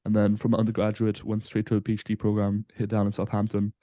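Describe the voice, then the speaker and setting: A man in his twenties from Belgium, a guest on a casual podcast interview, explaining in a relaxed way with frequent fillers. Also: lowish voice, quick pace, no room sound, muffled recording. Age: 20s. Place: Southampton, UK.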